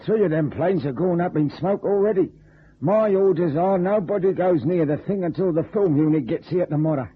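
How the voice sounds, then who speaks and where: A man's voice: high-pitched, moderate pace, husky. An actor playing a blunt, suspicious old night watchman with a working-class English accent in a radio detective drama. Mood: gruff, suspicious. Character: gruff, plain-spoken old night watchman. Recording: tinny, narrow old radio recording.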